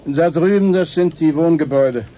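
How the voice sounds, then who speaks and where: An actor playing a curt, touchy station worker who is weary of chatter, in a German radio drama. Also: male voice, a little high, normal speed, mellow, highs cut off, slight background noise, close to an everyday voice. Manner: terse, irritable, dry.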